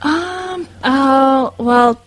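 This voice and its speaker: Woman, halting English. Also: high-pitched, no echo. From Mongolia. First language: Mongolian. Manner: halting, searching for English words. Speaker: interviewee. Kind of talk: casual interview answers.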